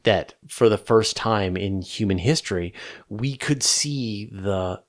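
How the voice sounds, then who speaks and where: Low-pitched man hosting a space Q&A. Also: slow pace. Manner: enthusiastic, emphatic, conversational. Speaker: host of a space question-and-answer show. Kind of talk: casual explanatory talk answering viewer questions.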